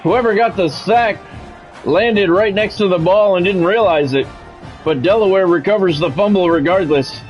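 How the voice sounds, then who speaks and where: A man's voice: high voice, normal speed, faint music behind, poor audio. A man streaming a sports game, mixing radio-style play-by-play with casual chat.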